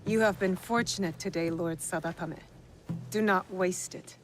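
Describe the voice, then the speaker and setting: A woman's voice: on the low side, normal speed, natural voice. An actress playing a stern, guarded young female fighter with a dry manner in a video game cutscene. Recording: game music behind the voice.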